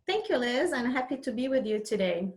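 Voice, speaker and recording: Higher-voiced Lebanese-accented woman. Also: big pitch swings, brisk. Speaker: interview guest. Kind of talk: conversational interview answers.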